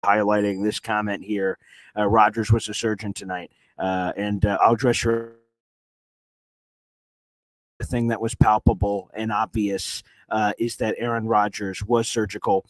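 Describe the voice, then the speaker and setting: A hoarse man, his voice worn thin from screaming, hosts a live reaction stream. He rambles excitedly with lots of "uh"s, in a lowish voice. The sound is dry and close, with no room echo. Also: measured pace. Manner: excited, rambling, with frequent "uh" fillers and restarts. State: hoarse, voice nearly gone from screaming. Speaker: sports reporter and livestream host. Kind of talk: live sports reaction livestream. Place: hotel room. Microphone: streaming setup on weak hotel wifi.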